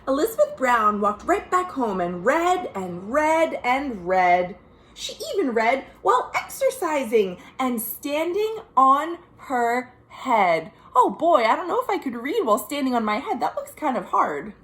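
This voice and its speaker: An American woman, a children's librarian, reading a picture book aloud in an expressive, sing-song way with chatty asides to young listeners. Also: high-pitched, measured pace, roomy sound. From United States. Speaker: children's librarian hosting a virtual storytime. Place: at home.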